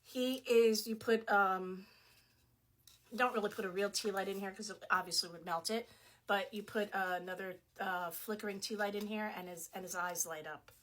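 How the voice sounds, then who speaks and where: A middle-aged woman who runs a small shop, chatting casually as she shows off products, her voice going hoarse, with frequent fillers and laughs. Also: mid-range voice, fairly bright tone, a little hiss or hum.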